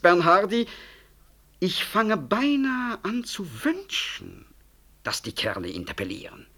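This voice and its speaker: Swooping, self-important politician. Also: male voice, fairly high pitch, fairly bright tone. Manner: hedging, rhetorical, pompous. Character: smooth, self-important politician and doctor, evasive, grandiloquent. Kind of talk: 1960s German radio drama acting.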